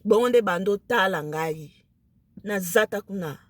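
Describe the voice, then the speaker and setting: Excited woman with a Lingala accent. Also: medium pitch, a little slow, no echo. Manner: emphatic, excited, mixes French into her speech. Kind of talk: casual talk to camera.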